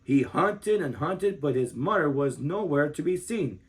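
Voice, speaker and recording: A man of thirty reading a children's book aloud as an expressive storyteller. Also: fairly high pitch, a little slow.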